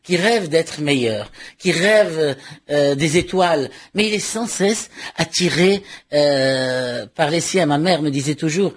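A man's voice: on the high side, animated, slow pace. A man from Morocco, a novelist speaking in a radio interview, who stumbles, repeats words and laughs often. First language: Arabic and French. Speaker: novelist guest.

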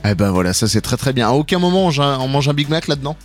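A man hosting a morning radio show, joking and teasing his co-hosts in a lively way. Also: mid-range voice, fast talker, soft background music.

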